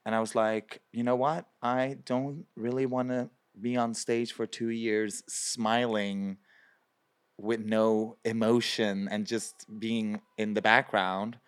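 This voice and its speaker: Young man from Belgium, drag performer, low smooth voice, rambling animated interview talk. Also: slow. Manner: chatty, lots of fillers, animated quoting. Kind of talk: casual interview.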